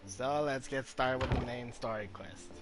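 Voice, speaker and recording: Guy streaming a game, chatting casually, medium pitch, halting, game music clearly behind him. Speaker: gaming streamer. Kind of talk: casual let's-play commentary.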